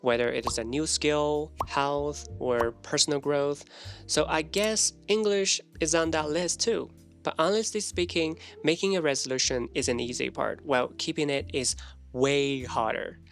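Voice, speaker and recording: A man's voice: fairly high pitch, steady tone, normal speed, gravelly, a bit bright, faint music behind. A man whose first language is Chinese, talking earnestly to camera about learning English and laughing now and then between points. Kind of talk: casual scripted talk to camera.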